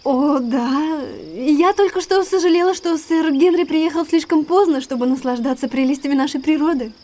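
A woman's voice: high voice, moderate pace, warm tone, natural voice. An actress playing an elegant, anxious and secretive lady in an audio drama, with a refined, guarded manner. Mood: guarded politeness. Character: Beryl, elegant, anxious, secretive naturalist's sister.

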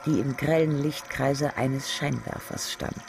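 A man's voice: medium pitch, steady tone, a little slow, creaky, slight background noise. A man narrating a literary radio drama with a vivid storytelling delivery.

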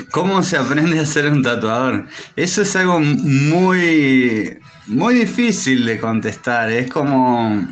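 A man with an Argentine Spanish accent answering interview questions in a thoughtful, hesitant way with many pauses. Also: mid-range voice, fairly bright tone. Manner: hesitant, thoughtful, pausing often. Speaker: interview guest, tattoo artist.

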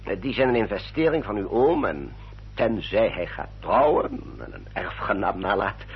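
Deep, husky, formal solicitor. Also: male voice, expressive. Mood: explanatory, measured. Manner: measured, formal, explanatory. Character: formal, courteous male English family solicitor. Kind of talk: radio drama acting. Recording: dull, muffled old radio recording.

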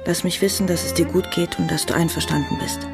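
Brisk, resolute letter-writing sister. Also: female voice, lowish voice, fairly even intonation, creaky, music in the background. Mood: brisk, disdainful. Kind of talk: letter voice-over in radio drama.

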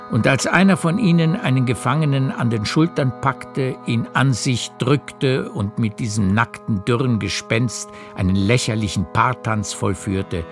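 A man narrating a literary radio play in a measured storytelling manner. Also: medium pitch, vocal fry. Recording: music behind the voice. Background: music.

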